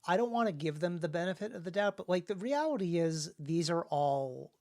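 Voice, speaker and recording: A man co-hosting a casual livestream discussion as an author, in a halting, discursive way that often restarts phrases. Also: sits high, lively intonation, a little mellow. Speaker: author and co-host of a writing talk show.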